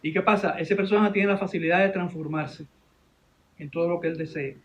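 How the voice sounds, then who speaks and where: A man with a Venezuelan accent telling a story informally in an interview, halting and repeating his words. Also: a little high, fairly even intonation, muffled recording. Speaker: interview guest, animation director and teacher.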